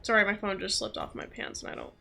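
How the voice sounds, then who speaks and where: A woman's voice: medium pitch, wildly swooping pitch, fast, vocal fry, sharp tone. A woman streaming a game and giving casual, informal reaction commentary. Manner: informal, frequent "like".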